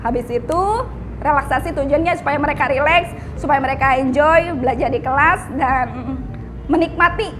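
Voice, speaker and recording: A woman's voice: high-pitched, normal speed, noisy background. A woman teacher casually describing her classes in an interview, with fillers and restarts.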